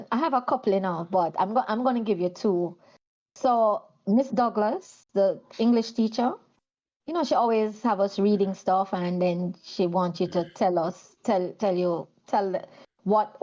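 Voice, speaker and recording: A woman's voice: medium pitch, a little mellow, muffled recording. A woman with a Jamaican accent who works as a teacher, chatting about a school memory and repeating words as she goes. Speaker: guest, teacher. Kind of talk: casual storytelling on a video call.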